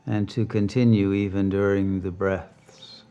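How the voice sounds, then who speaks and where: A man leading a guided meditation as a teacher, speaking calmly and deliberately with long pauses. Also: low voice, smooth, dull audio.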